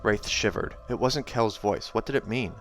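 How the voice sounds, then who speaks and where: A man reading a fantasy novel aloud in an expressive narrating style, as a reader who also comments casually. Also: on the low side, moderate pace.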